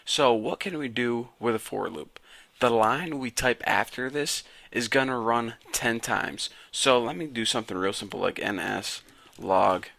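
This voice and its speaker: A raspy-voiced young man casually teaching a programming lesson to viewers. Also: mid-range voice, measured pace, a bit bright. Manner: casual, chatty, talks to viewers as guys. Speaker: programming tutor. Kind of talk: casual screen-recorded coding tutorial.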